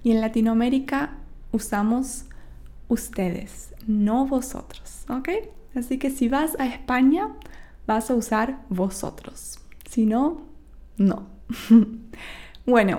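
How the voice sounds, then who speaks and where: A woman's voice: fairly high pitch, dark tone. A young woman from Argentina with an Argentine accent, teaching a podcast Spanish lesson and checking in with "¿sí?" after each point. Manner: explains slowly, with frequent checking "¿sí?" and "¿ok?".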